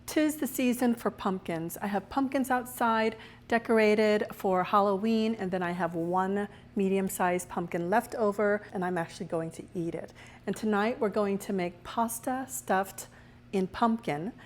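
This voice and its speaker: A woman hosting her own cooking show, her voice a little raspy as she recovers from a cold, chatting through each step as she cooks. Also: mid-range voice, a little slow, slight background noise. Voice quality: slightly raspy. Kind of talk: casual cooking demonstration.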